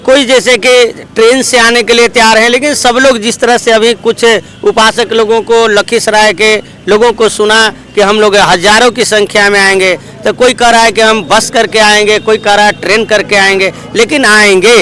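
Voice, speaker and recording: A man's voice, very high and forceful. He is a campaigning monk answering in a street interview, repeating himself and running through lists. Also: level tone, moderate pace, few pauses. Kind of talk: spoken report in a street interview.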